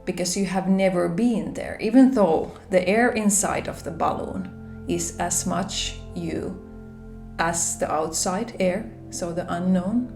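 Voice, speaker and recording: Gravelly low Finnish-accented woman. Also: slow pace. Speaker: spiritual vlogger. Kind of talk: gentle reflective monologue to camera. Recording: roomy echo with soft background music.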